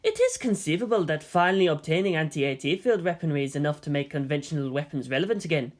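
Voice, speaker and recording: A narrator voicing a tolerant old former commander in a story, speaking in a measured, formal, dryly musing way. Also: medium pitch, big pitch swings, natural voice. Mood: calm musing.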